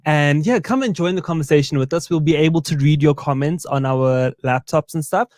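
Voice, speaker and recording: Young South African man, playful co-host, lightly raised pitch, close and dry. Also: a little fast, a little mellow, no room sound. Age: young adult. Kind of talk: casual chat while eating on a live stream.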